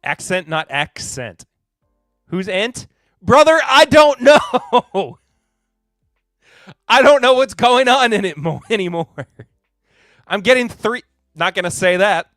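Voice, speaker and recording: A man's voice: sits high, big pitch swings, takes it slowly, pauses often, fairly bright tone, no echo, clean studio sound, soft background music. A man from Kentucky hosting a live podcast in casual chat, laid-back and chuckling as he reads and answers viewer comments. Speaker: podcast host.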